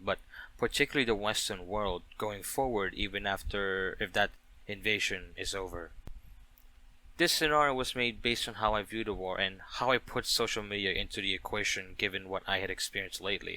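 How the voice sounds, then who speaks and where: Sharp bright male voice, lowish pitch, earnest, rambling, personal video commentary, restarts mid-thought. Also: rough recording. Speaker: video creator. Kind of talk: direct-to-listener personal commentary.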